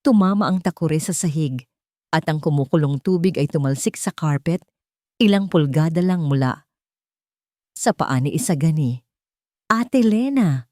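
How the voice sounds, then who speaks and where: Female narrator, lower register, close dry sound, measured dramatic delivery for romance fiction. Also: mellow, no echo. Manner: measured, theatrical storytelling. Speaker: story narrator. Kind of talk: dramatic scripted narration of a Tagalog romance story.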